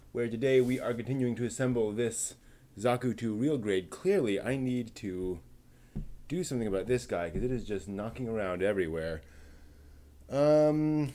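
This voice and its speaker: Slow, low-voiced man building a model. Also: a little mellow. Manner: unhurried, thinking aloud, muttering to himself. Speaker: livestream host building a model kit. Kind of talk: casual live commentary while assembling.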